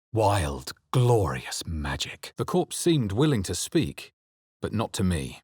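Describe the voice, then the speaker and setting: Husky, low-voiced brooding fantasy adventurer. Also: male voice, no echo, clean studio sound, exaggerated voice. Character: male fantasy adventurer hero, brooding and grave. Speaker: video game player character. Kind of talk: dramatic fantasy game narration, barks and spell incantations.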